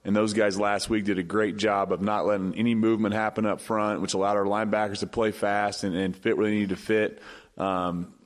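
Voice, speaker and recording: A defensive football coach talking casually with fillers in a pregame radio interview. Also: male voice, on the low side, level tone, fairly quick, big-room sound.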